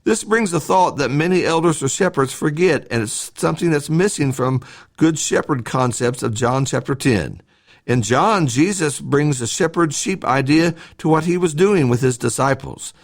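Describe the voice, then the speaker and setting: Man reading a devotional, widely varied pitch. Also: expressive, normal speed. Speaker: church podcast host reading his devotional. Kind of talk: scripted devotional talk, read aloud.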